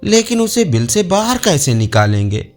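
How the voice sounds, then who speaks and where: A man's voice: high-pitched, very animated, moderate pace, a little mellow, soft background music. A man voicing an aging male lion in a storybook tale, resentful, grumbling and threatening. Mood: plotting.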